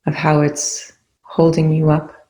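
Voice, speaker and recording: A meditation instructor speaking in a soothing, unhurried way with long pauses, guiding a body awareness practice. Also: mid-range voice, monotone, dark tone. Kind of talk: guided meditation. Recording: echoey room.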